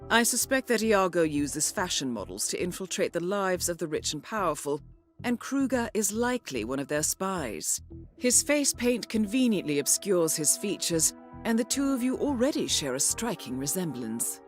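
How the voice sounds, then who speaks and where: An actress playing a poised intelligence handler, giving formal, measured mission briefings with dry teasing and polished diction. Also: female voice, lowish voice, sing-song. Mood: composed briefing. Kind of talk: scripted mission briefing narration. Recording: music behind the voice.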